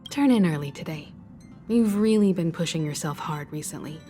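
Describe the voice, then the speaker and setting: An actress playing a poised, caring mature woman leader in a video game, gently advising. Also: low voice, expressive, moderate pace, soft background music. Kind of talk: video game character dialogue.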